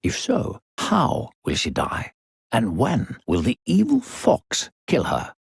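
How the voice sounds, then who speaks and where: A man voicing an unseen, coaxing game narrator with a gravelly, husky voice and a patronizing, falsely kindly, theatrical manner. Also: medium pitch, wildly swooping pitch, takes it slowly, no room sound, studio quality, heavily acted. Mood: conspiratorial. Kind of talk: theatrical video game narration addressed to a child.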